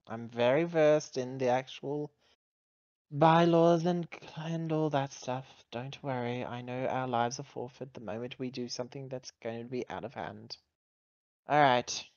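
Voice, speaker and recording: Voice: medium pitch, measured pace, darkish tone, no echo, dull audio, lightly acted. A player voicing a guarded, businesslike female synthetic agent in a tabletop game, with clipped, matter-of-fact delivery. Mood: guarded, resigned.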